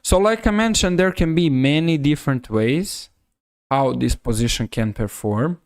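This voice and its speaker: A man with a Serbian accent giving live chess commentary on a stream, halting and often rephrasing himself. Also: fairly high pitch, no room sound, studio quality.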